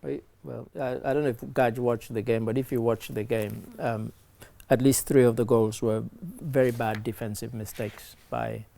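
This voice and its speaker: A man with a croaky voice after a late night, giving blunt, conversational sports commentary as a talk show panelist. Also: mid-range voice, steady tone, normal speed, hardly any pauses, dark tone.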